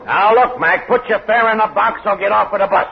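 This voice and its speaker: An irritable city bus driver, barking curt, slangy orders in a high-pitched voice. The sound is 1940s radio comedy, slightly muffled with faint noise. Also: male voice, a little fast, vocal fry. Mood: irritated. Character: impatient, gruff-tempered male city bus driver. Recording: dull, faintly noisy 1940s radio recording.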